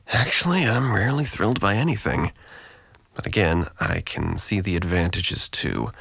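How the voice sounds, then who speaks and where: A man's voice: low-pitched, gravelly, a bit bright, dry recording, heavily acted. An actor playing a depressed, guarded young man in an audio drama, with a dry, weary, self-deprecating manner.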